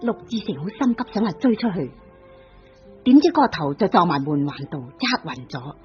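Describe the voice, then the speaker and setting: A woman narrates an old radio drama in Hong Kong Cantonese, with a lively, swooping delivery. The recording is dull and lo-fi, with faint music underneath. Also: on the low side, normal speed. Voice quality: vivid storytelling emphasis.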